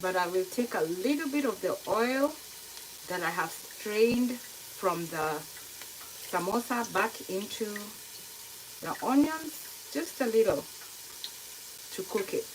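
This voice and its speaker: A woman with a Kenyan accent giving a casual cooking demonstration to viewers, explaining step by step in a halting, unhurried way. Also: medium pitch, lively intonation. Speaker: home cook hosting a cooking tutorial. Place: kitchen, indoors. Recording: noisy kitchen, sizzling frying sounds. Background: frying sizzle, stirring, clicks.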